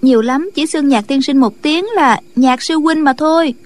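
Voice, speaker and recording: A woman's voice: high-pitched, moderate pace, pitched above the performer's own voice, natural voice. A woman voicing an earnest, respectful young female disciple in a martial arts audiobook, girlish and deferential. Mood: earnest, urgent.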